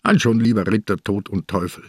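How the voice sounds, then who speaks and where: A low-pitched old inspector in a radio drama. He is sick, wary and stubborn, speaking gruffly in a very dry studio. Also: brisk, a little mellow, dry recording. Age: older. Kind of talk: German radio drama acting.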